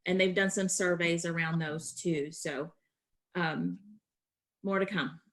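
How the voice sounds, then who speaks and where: A woman giving an informal work briefing as a staffer on a group call, halting, with frequent filled pauses and repeated words. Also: lowish voice, steady tone, a bit bright. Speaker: association staffer giving updates on a provider call.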